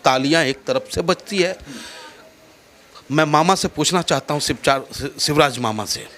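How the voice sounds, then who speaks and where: North Indian man, high-pitched, forceful, oratorical, emphatic repetition, political interviewee. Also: normal speed, slight background noise. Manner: oratorical, rhetorical, emphatic, with repeated phrases. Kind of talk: impassioned political speech in an interview.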